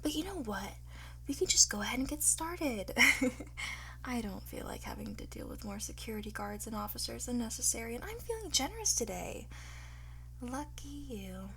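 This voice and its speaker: A woman playing a cocky, flirtatious art thief in an ASMR roleplay, teasing and smug, often slipping into breathy whispers. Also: a little high, sing-song, moderate pace, fairly bright tone, a little hiss or hum. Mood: coy, teasing. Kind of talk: ASMR roleplay, one-sided acted dialogue.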